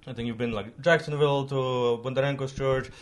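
Flat-toned casual podcast host man. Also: mid-range voice, brisk. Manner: rambling and informal, with many fillers and restarts. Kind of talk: casual conversational interview.

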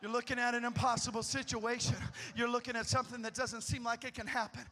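A man preaching a sermon in a church, moving from quiet teaching to shouted, fervent prayer. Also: extremely high pitch, level tone, quick pace. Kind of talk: sermon with altar call prayer. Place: church sanctuary.

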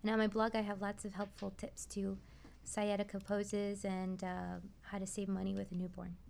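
A woman's voice: mid-range voice, monotone, normal speed, creaky, darkish tone, a little hiss or hum. A woman speaking as an expert guest on a talk show, giving informal, encouraging and emphatic advice. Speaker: expert guest, prenatal massage therapist.

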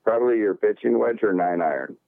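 A man's voice: fairly low pitch, brisk, vocal fry, no echo. A man in his forties, calling in to the show and trading profane, joking banter. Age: 40s. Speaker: regular co-host on a call-in. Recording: tinny phone line.

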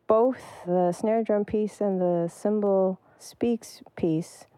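A woman's voice: medium pitch, steady tone, slow, warm tone, smooth, no room sound. A woman percussionist giving thoughtful, searching answers in an interview. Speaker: percussionist guest.

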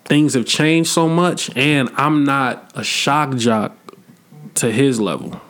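A man's voice: mid-range voice, slow pace, a bit bright. A man in his twenties hosting a podcast, chatting in a blunt, slangy and reflective way. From Midwest United States. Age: 20s. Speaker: podcast host. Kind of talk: casual podcast chat.

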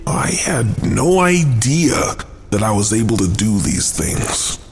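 A man's voice: lowish voice, very animated, takes it slowly, music in the background, full character voice. An actor playing a modest, hesitant and powerful male archangel in a fantasy audio drama, speaking thoughtfully. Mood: modest, unsure.

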